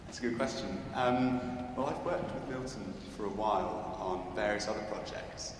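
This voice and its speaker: A man from Scotland answers audience questions in a large church, pausing and restarting as he thinks. His voice sits fairly low. The reverb is long, with a steady noise behind him. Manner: hesitant, thoughtful, many fillers and restarts. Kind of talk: unscripted Q&A answers. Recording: echoey church with background noise.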